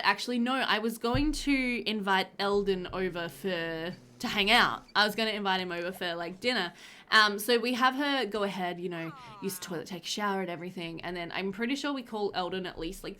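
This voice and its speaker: A woman in her thirties narrates her gameplay in a loose, chatty way, laughing often. Her nose sounds slightly stuffed up. She talks into a headset at a middle pitch. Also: pushed and bright, a little hiss or hum. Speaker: gaming channel host. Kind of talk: casual gameplay voiceover.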